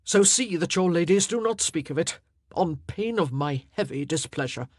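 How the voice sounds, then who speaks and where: A woman voicing a fierce, grieving king in his forties who speaks loudly and commandingly. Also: lowish voice, lively intonation, normal speed, no room sound, clean studio sound. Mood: stern. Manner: loud, commanding and wrathful.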